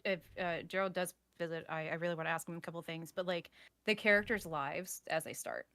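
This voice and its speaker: A woman's voice: lowish voice, fairly even intonation, a little fast, fairly bright tone, no echo. A woman hosting a casual live-stream chat, chatty and prone to laughing as she banters. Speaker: host, interviewer; voice actor.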